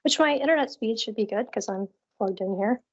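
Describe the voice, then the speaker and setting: The recording is a slightly dull, lo-fi online call. A woman with a highish voice co-hosts and presents a live demo. She talks casually, in an upbeat and chatty manner. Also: brisk.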